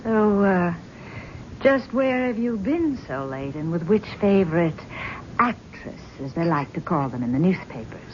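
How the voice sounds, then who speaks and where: A bitter, mocking wife. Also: female voice, on the low side, expressive, a little slow. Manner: sarcastic, needling. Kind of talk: 1970s radio drama acting. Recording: dull old radio broadcast with faint hiss.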